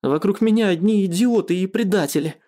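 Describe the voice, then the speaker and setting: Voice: mid-range voice, brisk, dark tone, voice pushed up, lightly acted. A narrator acts out a jilted man in an audiobook drama. He is bitter and scornful, sneering and growling as he demands answers and flings insults.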